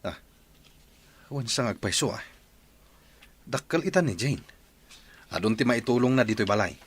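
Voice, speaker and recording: A man's voice: on the low side, lively intonation, normal speed, husky. A man acting a grumbling, demanding village husband and father in a radio drama, with an Ilocano accent. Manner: complaining, insistent.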